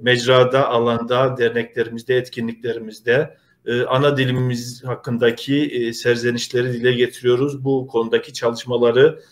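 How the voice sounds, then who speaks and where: Middle-aged man presenting, halting and earnest. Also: medium pitch, little pitch movement. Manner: earnest, halting, polite appeals to viewers. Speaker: host of a community video show. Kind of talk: informal presenting to an online audience.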